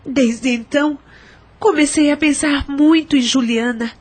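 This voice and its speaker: Woman acting a high-voiced, remorseful Brazilian mother. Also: unhurried, darkish tone. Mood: troubled, remorseful. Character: troubled, remorseful adult woman, estranged mother confessing. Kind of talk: audio drama acting.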